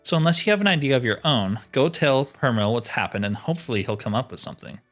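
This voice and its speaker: Man playing a trapped fantasy game fighter, blunt, wry, matter-of-fact, slightly impatient, medium pitch, music behind. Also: animated, fast, a bit bright, natural voice. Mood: wry, businesslike. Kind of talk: reading game dialogue in character.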